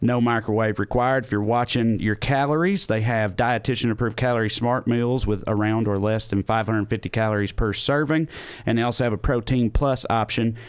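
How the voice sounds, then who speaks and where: A man's voice: medium pitch, fairly quick, clear, resonant tone. A man hosting a comedy podcast, talking in a casual, conversational way. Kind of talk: casual banter and host-read ad.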